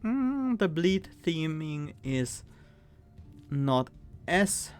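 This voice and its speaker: A man with a Swiss accent, streaming a card game and thinking aloud, reading cards and weighing choices with pauses and fillers. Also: on the high side, big pitch swings, smooth, faint music behind. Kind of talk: casual gameplay commentary.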